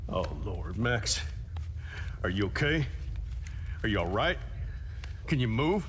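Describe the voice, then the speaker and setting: An actor playing a gruff, protective middle-aged security guard in a video game drama, blunt and deliberate. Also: male voice, on the low side, big pitch swings, background noise. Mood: worried.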